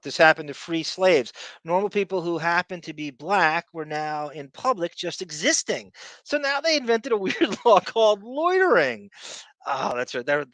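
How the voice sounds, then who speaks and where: A man hosts a casual podcast in a forceful, bright voice pitched a little high. He gives blunt, opinionated commentary and swears freely. The sound is very dry. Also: no echo. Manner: blunt, profane, emphatic. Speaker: podcast host.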